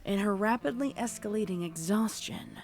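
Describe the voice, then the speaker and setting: A woman's voice: medium pitch, animated, moderate pace, darkish tone. A woman reading scripted fiction as a storybook narrator. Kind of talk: scripted audiobook-style horror fan fiction narration.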